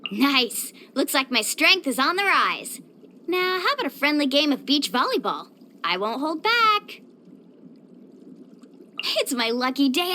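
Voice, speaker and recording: Super high bubbly surfer girl from a video game, peppy n friendly, really swoopy delivery, some noise behind. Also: sharp tone, exaggerated voice. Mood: confident, upbeat. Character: bubbly, sporty young woman surfer, upbeat and caring. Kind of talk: scripted video game voice acting.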